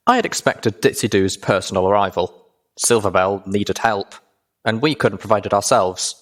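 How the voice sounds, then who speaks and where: Male audiobook narrator with a lowish voice for first-person fiction.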